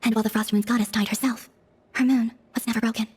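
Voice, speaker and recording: A woman playing a serene, enigmatic young moon goddess in a video game cutscene, speaking formally, softly and with detachment. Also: on the high side, level tone, breathy edge. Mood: solemn. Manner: measured formal phrasing, soft, detached.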